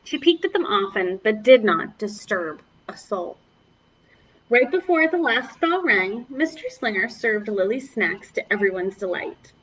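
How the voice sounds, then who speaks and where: A woman's voice: mid-range voice, sing-song, unhurried, a bit bright, husky, dull audio. A woman children's librarian leading a preschool storytime, speaking to young children in an encouraging, exaggerated way.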